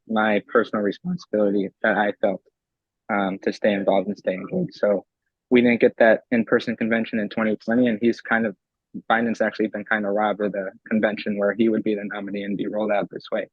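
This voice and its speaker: Young delegate guy, raspy voice from yelling, flat delivery, muffled dry audio. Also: lowish voice, fairly quick, dry recording. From Eastern Pennsylvania. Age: young adult. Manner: eager, many ums and restarts. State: raspy from screaming. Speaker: convention delegate and law student. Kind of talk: remote interview.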